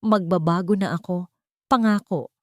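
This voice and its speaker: A woman's voice: fairly low pitch, moderate pace, a little mellow, no echo, studio quality, lightly acted. A woman storyteller playing a guilty husband who begs tearfully for forgiveness. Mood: tearful pleading. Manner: sobbing, pleading.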